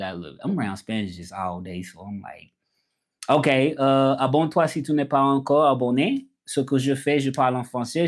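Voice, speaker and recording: A man's voice: medium pitch, darkish tone. A man from the American South with a Southern accent, chatting casually on a livestream as its host, colloquial and often repeating his phrases. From southern United States. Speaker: livestream host, language content creator. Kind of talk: casual livestream chat, switching between English and French.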